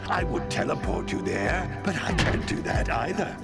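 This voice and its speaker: A cranky old wizardly mentor, creaky and pitched high. He scolds pompously with big swings of intonation in a cartoonish game style, over loud music. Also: big pitch swings, moderate pace. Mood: grave, explaining. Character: grumpy old male sage, scolding mentor. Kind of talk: cartoonish video game voice acting.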